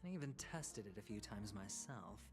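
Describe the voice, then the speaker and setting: An actor playing a suave, wry gambler in a video game, with an amused, airy, theatrical delivery. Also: male voice, lowish voice, animated, moderate pace. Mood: wry amusement. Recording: loud music behind.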